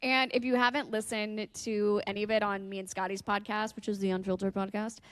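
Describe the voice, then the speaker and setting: A woman's voice: mid-range voice, flat delivery, a little fast, clear, resonant tone. A young adult woman, a podcast co-host and radio host, chatting casually.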